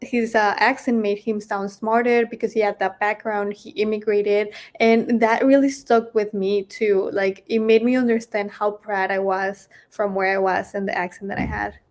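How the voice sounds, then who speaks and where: A woman's voice: medium pitch, fairly even intonation, moderate pace, few pauses. A woman who grew up partly in Mexico, speaking as a panelist in a chatty way with lots of "you know". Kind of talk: casual panel talk.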